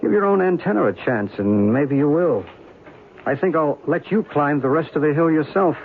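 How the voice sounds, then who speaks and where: An actor playing a calm, knowledgeable guide to ancient mysteries, speaking in a measured, explanatory way in a radio drama. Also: male voice, fairly high pitch. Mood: quietly guiding. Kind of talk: old-time radio drama acting. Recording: tinny, hissy band-limited radio recording.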